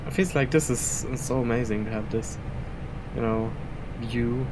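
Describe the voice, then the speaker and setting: A man's voice: mid-range voice, normal speed, background noise. Chatty young man with German roots. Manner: rambling and chatty, chuckling often between thoughts. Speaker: flight simulator streamer. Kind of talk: casual gameplay commentary. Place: home room at his PC.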